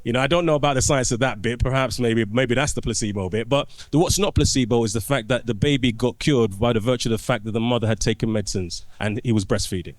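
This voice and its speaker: A man's voice: medium pitch, quick pace, hardly any pauses, no echo. Man telling stories in a dry studio. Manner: storytelling, explanatory, restarts phrases. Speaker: guest, trauma doctor. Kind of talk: conversational podcast interview.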